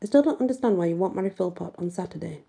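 A woman's voice: lowish voice, animated, racing, vocal fry, mellow. A woman voicing a sniping fairy aunt in a storybook, curt and condescending. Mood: disapproving. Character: sniping, disapproving fairy aunt with tiny eyes.

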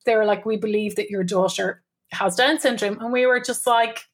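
A woman's voice: mid-range voice, steady tone, moderate pace. A middle-aged Irish woman talking as a podcast guest, chatty and candid, often laughing in colloquial speech. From Ireland. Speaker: guest, business owner and mother. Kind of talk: podcast interview, candid storytelling.

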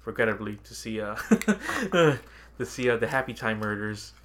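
A man's voice: fairly low pitch, big pitch swings, a little slow, fairly bright tone. A man co-hosting a casual podcast chat about movies and games, talking informally with slang and free swearing. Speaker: podcast co-host.

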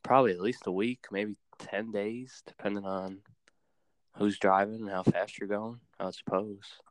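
A man hosts a podcast with a smooth, resonant, low voice. He talks loosely and casually, with the odd filler and restart. The sound is dry and close. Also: normal speed, dry recording. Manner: relaxed, with many fillers and restarts. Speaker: podcast host. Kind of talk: casual chat.

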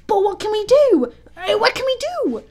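A woman voicing excitable, simple young boys from a children's adventure storybook, exclaiming breathlessly. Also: high voice, unhurried, pitched above the performer's own voice. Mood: panicked.

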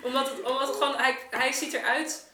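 A woman with a fairly high voice talks casually and reflectively. She hesitates and searches for words. She sounds a little far from the microphone, in an echoing room. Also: steady tone, fairly quick, sharp tone, slight background noise. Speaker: artist, podcast participant. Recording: echoey room, distant from mic.

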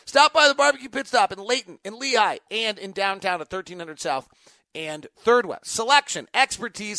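Male sports host, high forceful voice, conversational and emphatic, dry close sound. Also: dry recording. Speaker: sports show host and radio announcer. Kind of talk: live unscripted sports talk with host-read ad.

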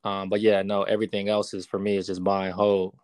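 A man in his thirties, a real estate investor, answering interview questions in a relaxed, casual way with many fillers. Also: sits low, fast, no echo, studio quality. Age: 30s.

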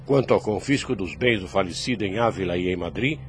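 An actor playing a calm, shrewd priest in his fifties in a radio drama, speaking formally and paternally with a Brazilian accent. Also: deep voice, a little fast, natural voice. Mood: firm, serene. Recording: clear background noise.

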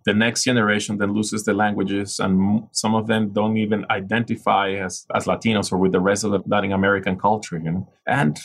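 Venezuelan man, low husky rasp, reflective podcast guest. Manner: reflective, frequent restarts and "you know". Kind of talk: casual interview conversation.

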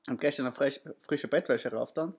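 Ein junger Mann mit österreichischem Akzent, der als Co-Host eines Podcasts locker plaudert, oft stockt und neu ansetzt und gerade etwas verschnupft ist. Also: male voice, medium pitch, a little fast, husky, muffled recording. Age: young adult. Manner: rambling, stumbles and restarts often. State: stuffy nose from allergy. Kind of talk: casual chat.

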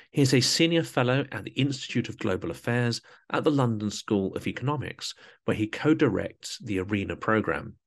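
A man hosting an interview podcast. He reads a prepared introduction in a measured, formal manner. He then turns to his guest with questions. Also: fairly low pitch. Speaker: podcast host.

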